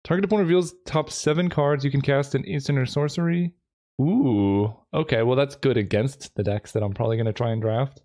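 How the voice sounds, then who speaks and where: A man with a hoarse voice, casually commenting to himself as he drafts cards for a video, thinking aloud with lots of fillers. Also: medium pitch, a little fast, warm tone. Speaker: gaming video creator. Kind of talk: casual commentary while drafting a card game.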